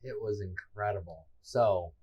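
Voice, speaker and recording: A man's voice: fairly low pitch, measured pace, muffled recording. A man co-hosting a casual podcast, self-deprecating and prone to repeating himself, laughing often. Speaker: podcast co-host. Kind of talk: casual chat.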